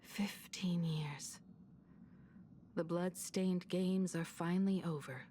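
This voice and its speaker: A woman voicing a composed, grave young woman in a video game, speaking in a measured, restrained way. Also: fairly low pitch, steady tone, mellow, slight background noise. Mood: quiet, resolute. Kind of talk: dramatic video game voice acting. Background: prominent music.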